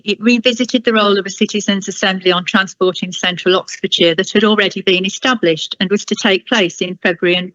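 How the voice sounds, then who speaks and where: A woman delivering a formal prepared address at a council meeting in a deliberate, critical and emphatic manner. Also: medium pitch, fairly bright tone, no room sound, lo-fi sound.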